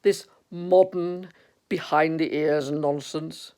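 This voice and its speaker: A glum old storybook donkey, put on by a woman, dragging each word out very slowly. He mutters and complains in a mournful, resigned grumble. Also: deep voice, fairly even intonation, dark tone, exaggerated voice. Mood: gloomy, grumbling.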